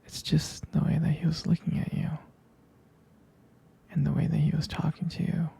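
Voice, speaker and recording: A man playing a jealous, devoted boyfriend in an ASMR roleplay, speaking intimately and haltingly in a breathy, husky whisper. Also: a little high, flat delivery, warm tone, full character voice. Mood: uneasy, jealous. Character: jealous, possessive, devoted boyfriend soothing his sweetheart.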